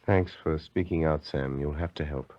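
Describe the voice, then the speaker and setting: An actor playing a calm, authoritative investigator in a 1930s radio drama, measured and articulate. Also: male voice, very deep voice, crowd noise. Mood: urgent, firm. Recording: noisy dull vintage radio recording.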